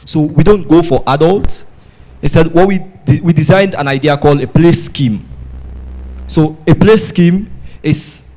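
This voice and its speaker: A man's voice: fairly high pitch, unhurried, a little mellow. A man from Sierra Leone with a Sierra Leonean accent, sharing his experience at a conference. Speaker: audience participant, former consultant. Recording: echoey hall, faint noise.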